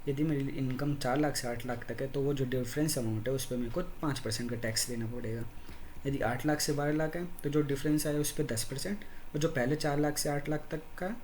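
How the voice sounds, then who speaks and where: A man's voice: medium pitch, fairly even intonation, fairly quick, darkish tone, slight background noise. A man with an Indian accent, hosting his own channel, walks viewers through tax slab rates step by step, mixing in English terms and his own income examples. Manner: explains step by step with personal examples; code-switches with many English terms. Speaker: tax explainer, channel host. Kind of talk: screen-walkthrough tax lesson.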